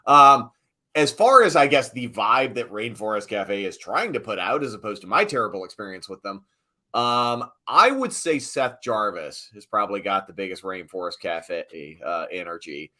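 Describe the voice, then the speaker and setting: Middle-aged male interview guest: low-pitched, bright and punchy, informal and emphatic, chatty storytelling. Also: very animated, normal speed. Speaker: sports PA announcer, interview guest. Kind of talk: casual storytelling interview chat.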